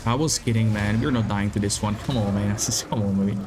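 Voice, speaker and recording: A man's voice: on the low side, brisk. Young czech guy streaming games, chill and sweary, faint music behind. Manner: laid-back, swears, reads chat aloud. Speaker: game streamer. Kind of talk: casual live-stream chat.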